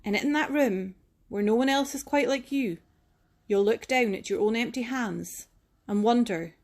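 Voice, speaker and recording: Higher-pitched woman reading a picture book aloud. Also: moderate pace, darkish tone. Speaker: storytime reader, teacher.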